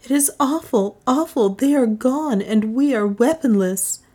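A woman narrator acts a worried priest in an adventure story. The voice is raised in pitch, dismayed and apologetic, as if all is lost. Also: sing-song, normal speed, warm tone. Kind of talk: audiobook character acting.

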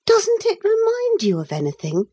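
A woman's voice: very high-pitched, huge pitch swings, moderate pace, mellow, voice pushed up, full character voice. A woman voicing an eager, outspoken young girl in a storybook, with a pointed manner. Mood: excited.

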